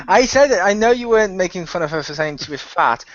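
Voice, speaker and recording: A man's voice: high voice, big pitch swings, brisk, fairly bright tone, no room sound. A man chatting casually as a cast member, teasing the others and laughing a lot. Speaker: cast member of an audio drama. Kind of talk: casual chat. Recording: dull lo-fi, dry sound.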